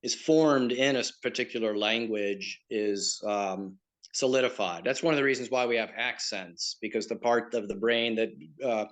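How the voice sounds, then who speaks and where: A man with a slight Southern American accent, explaining things in a relaxed interview with lots of fillers. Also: fairly low pitch, moderate pace. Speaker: pilgrimage tour operator and guest. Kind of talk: conversational interview.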